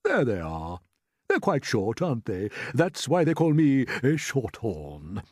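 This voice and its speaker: Genial old storybook grasshopper, played by a man: avuncular, proud, swooping pitch, leisurely emphatic words. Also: huge pitch swings, measured pace, darkish tone, husky, dry recording, studio quality, full character voice. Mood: proud, explaining. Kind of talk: storybook character voice.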